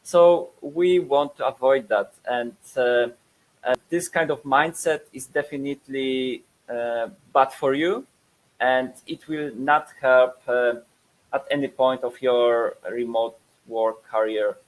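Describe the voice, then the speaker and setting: Polish man, 30s, webinar presenter, hesitant, mid-pitched voice. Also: steady tone, takes it slowly, low-quality recording. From Poland. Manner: hesitant, many fillers and repeated words.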